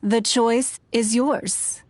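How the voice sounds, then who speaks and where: A woman playing a composed, formal contest official in a video game, measured and poised. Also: on the high side, steady tone, a little mellow, no echo. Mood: calm, formal.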